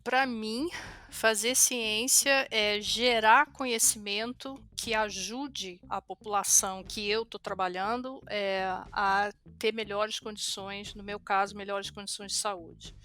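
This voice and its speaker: A woman's voice: medium pitch, steady tone, slow, bright, forceful tone. A middle-aged woman from Rio de Janeiro with a carioca accent, answering conversationally as an interview guest. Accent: carioca Brazilian. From Rio de Janeiro, Brazil.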